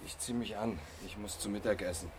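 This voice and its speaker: An actor playing a middle-aged fugitive accused of killing in a German radio play, matter-of-fact and pedantic. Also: male voice, on the low side, steady tone, a little fast, slight background noise, natural voice. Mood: detached, evasive. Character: cold, evasive male fugitive accused of killing.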